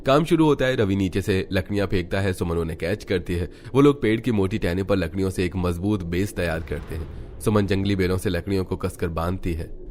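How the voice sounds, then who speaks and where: A man reading scripted Hindi narration for an animated family story as its narrator. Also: low voice, fairly quick, slight background noise. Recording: music behind the narration.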